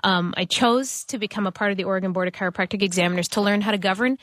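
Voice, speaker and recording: A woman's voice: mid-range voice, steady tone, racing, no room sound, clean studio sound. A woman from Toronto with a Canadian accent, a guest on a radio show, answering thoughtfully with fillers and restarts. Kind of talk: interview answers. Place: radio studio.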